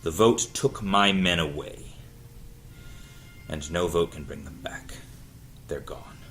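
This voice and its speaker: A man playing a grieving, embittered guild miner in an audio drama read-through, somber and halting. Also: deep voice, a bit bright, faint music behind. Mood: quiet sorrow.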